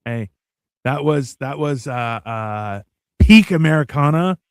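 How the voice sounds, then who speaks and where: A man's voice: medium pitch, lively intonation, slow, clear, resonant tone, no room sound. Sarcastic rambling man, dry close sound. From United States. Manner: sarcastic, rambling, many restarts. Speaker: podcast co-host. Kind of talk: casual banter.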